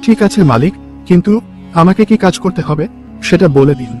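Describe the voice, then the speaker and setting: A poor young day labourer in a cartoon story, acted by a man. His voice is distinctly high for a male. He talks earnestly and respectfully, with a dutiful, worried air, over faint music. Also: expressive, a little fast, a little mellow. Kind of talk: Bengali cartoon story dialogue.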